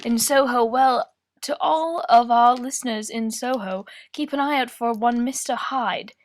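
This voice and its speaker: Woman playing polite high-voiced radio host. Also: measured pace, natural voice. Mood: inquisitive. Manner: formal, courteous, hesitant when taken aback. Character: polite, probing female radio news host, easily flustered. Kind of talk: amateur radio play.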